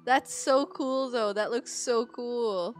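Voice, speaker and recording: High-voiced enthusiastic woman gamer. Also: slow pace. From Philippines. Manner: enthusiastic, exclaiming. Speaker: gaming YouTuber. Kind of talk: casual playthrough reactions. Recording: game music behind voice.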